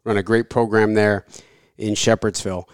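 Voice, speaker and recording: Man, casual podcast host, clear resonant voice, dry close mic. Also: on the low side, normal speed, no echo. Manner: relaxed, conversational, some filler words. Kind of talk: casual interview and read sponsor ads.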